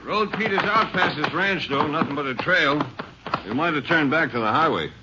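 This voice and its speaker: A man's voice: fairly high pitch, animated, moderate pace, natural voice. An actor playing a watchful, plainspoken lawman riding partner in an old radio western. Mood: brisk. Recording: noisy old radio drama recording.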